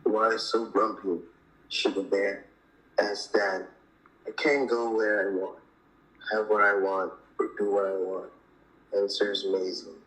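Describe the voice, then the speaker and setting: A man's voice: on the low side, level tone, takes it slowly, pauses often, a bit bright, rough recording. A man reading a children's picture book aloud. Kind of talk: read-aloud storytelling.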